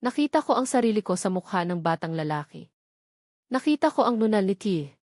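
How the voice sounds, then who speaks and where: A woman's voice: medium pitch, sing-song, brisk, a little mellow, no echo, clean studio sound, natural voice. A woman voicing an anguished, angry male doctor who was betrayed by his former lover in a dramatic story. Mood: bitter, angry.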